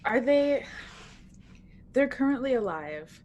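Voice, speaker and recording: A woman's voice: high-pitched, big pitch swings, slow, darkish tone, slight background noise. A woman playing a tabletop roleplaying game, talking conversationally as her character.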